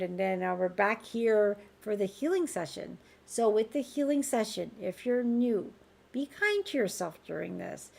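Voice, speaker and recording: A woman with a raspy voice hosting a live group healing call. She speaks in a gentle, reassuring and informal way. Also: medium pitch. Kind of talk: live-streamed conversational healing talk. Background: faint background music.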